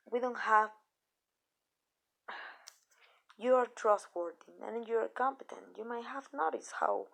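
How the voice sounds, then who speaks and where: Creaky, hushed vampire mistress, acted by a woman. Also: mid-range voice. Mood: tender, confiding. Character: possessive, tender female vampire mistress. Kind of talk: ASMR roleplay, intimate scripted monologue.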